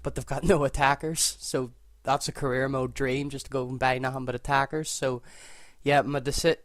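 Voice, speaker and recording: A Northern Irish man chatting over a football game in a level, even tone with little rise and fall. The sound is close and dry, with no room around his voice. He thinks out loud, restarts sentences and mends them as he goes. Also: mid-range voice, moderate pace, no echo. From Northern Ireland. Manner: relaxed, thinks aloud, self-correcting as he goes. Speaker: gaming video creator. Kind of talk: casual gameplay commentary.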